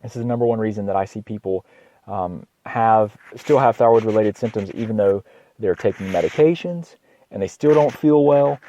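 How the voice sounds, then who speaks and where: A slightly hoarse man with a cold hosts an informal health podcast as a doctor, speaking haltingly, repeating words and often clearing his throat. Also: lowish voice, dark tone, muffled recording, a little hiss or hum. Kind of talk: informal educational health monologue.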